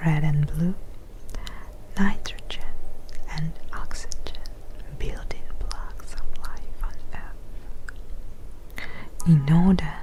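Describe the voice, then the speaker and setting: A woman performing ASMR narration in a hushed, breathy whisper, pausing between short phrases. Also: low voice, fairly even intonation, low-quality recording.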